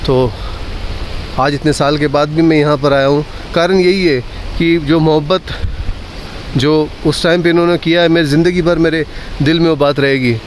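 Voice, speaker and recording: A man's voice: fairly high pitch, steady tone, normal speed. A man hosting a fishing vlog, talking casually to viewers and often repeating short phrases. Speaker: fishing channel host. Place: outdoors by water, partly on a boat. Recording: noisy outdoor recording with boat sounds.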